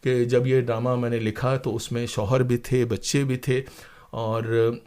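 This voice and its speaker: Man, expressive storyteller. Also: mid-range voice, unhurried, clear, resonant tone. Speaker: interview guest, playwright. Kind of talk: conversational storytelling in an interview.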